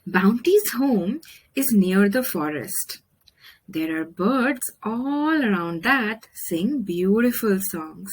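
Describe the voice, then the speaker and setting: A woman with an Indian accent reading a children's story aloud, expressively and deliberately, with dramatic pauses. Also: mid-range voice, animated, husky, rough recording. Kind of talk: children's picture book read-aloud.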